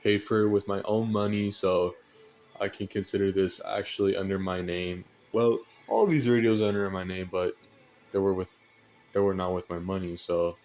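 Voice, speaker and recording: Male hobbyist, American, fairly low-pitched, flat monotone delivery, casual and unhurried show-and-tell. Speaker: radio hobbyist presenter.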